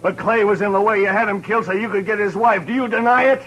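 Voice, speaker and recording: A man's voice: high-pitched, fairly even intonation, fast, a bit bright, muffled recording. A man playing a vengeful townsman who accuses and threatens a lawman in a 1950s radio western, his speech hostile and bitter.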